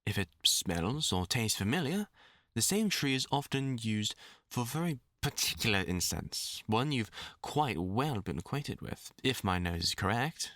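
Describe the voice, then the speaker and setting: A man's voice: medium pitch, very animated, measured pace, a bit bright, no room sound, studio quality. A man with a British accent playing a courteous magician in an audio drama, explaining things formally and apologetically with some hesitation. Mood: calm, explanatory. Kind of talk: character audio drama monologue.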